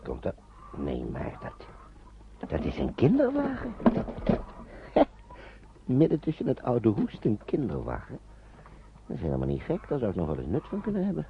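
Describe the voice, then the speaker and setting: A man's voice: mid-range voice, wildly swooping pitch, normal speed, gravelly, long pauses. An actor playing a frugal, resourceful uncle in a radio play, hesitant and muttering as he reasons and bargains. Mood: curious, muttering. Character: resourceful, frugal uncle, a lawyer travelling with children. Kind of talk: naturalistic radio play acting. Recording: dull, noisy old radio play recording.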